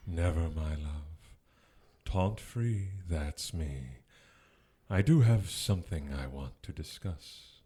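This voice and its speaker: A man's voice: very deep voice, expressive, slow pace, mellow, slightly rough, heavily acted. A man playing a smug, taunting, seductive fallen angel in an audio drama, with a teasing, sardonic drawl. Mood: sly.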